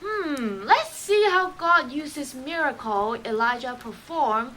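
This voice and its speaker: A woman's voice: high voice, expressive, slow pace, bright, forceful tone, a little hiss or hum, low-quality recording. A woman co-hosting a scripted children's show, speaking expressively to young children.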